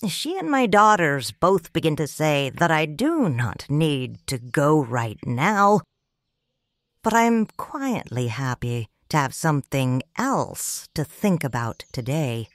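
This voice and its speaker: Low-voiced woman narrating slowly. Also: no room sound. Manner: slow, grave and tender. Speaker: audiobook narrator. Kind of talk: first-person audiobook narration.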